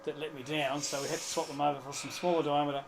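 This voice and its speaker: A man with a slightly high voice chats casually about his hobby. He tells it as an anecdote, quoting people as he goes, with clear noise in the background. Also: level tone, quick pace. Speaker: guest amateur radio hobbyist. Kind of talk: casual chatty anecdote telling. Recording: clear background noise, live room ambience.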